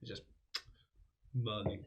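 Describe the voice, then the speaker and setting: A man's voice: extremely slow, lo-fi sound, background noise. A man playing a tabletop game, casually asking about rules and describing his moves.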